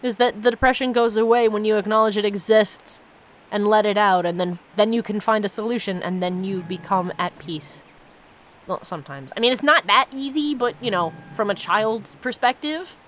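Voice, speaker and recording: A woman's voice: medium pitch, normal speed, fairly bright tone, slight background noise. A woman giving casual, reflective commentary as she plays a game and reads its story aloud. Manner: musing, reflective. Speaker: let's play host.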